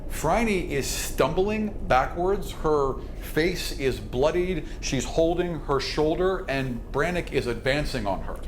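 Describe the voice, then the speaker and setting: A man's voice: a little high, animated, takes it slowly, few pauses, slight background noise. A man improvising tabletop game narration as game master, descriptive and conversational. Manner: conversational, descriptive, swears casually.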